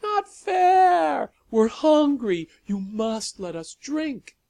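A man's voice: very high voice, very animated, very slow, gravelly, a little mellow, dry recording, voice pushed up, exaggerated voice. A man doing a whiny chorus of hungry vampires. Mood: whining. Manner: whiny, complaining. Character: whining hungry vampire crowd, childish.

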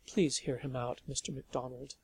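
A man voicing a calm, gentle nun who speaks softly and with composure in a storybook reading. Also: medium pitch, normal speed, slight background noise, close to an everyday voice. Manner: soft, quiet, composed.

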